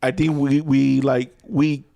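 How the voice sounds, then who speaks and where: Male podcast co-host, mid pitch, laid-back and jokey. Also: level tone, slow pace, vocal fry, dark tone, no echo. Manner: jokey, laid-back, affirms with repeated yeahs. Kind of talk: casual podcast chat.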